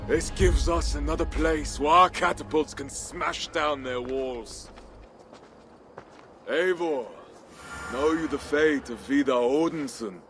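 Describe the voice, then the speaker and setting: An actor playing a brooding, vengeful Viking warlord in a video game, speaking in a grave, declamatory way. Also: male voice, on the high side, slow pace, over music. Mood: grimly triumphant. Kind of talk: dramatic video game acting. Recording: noisy game ambience behind the voice.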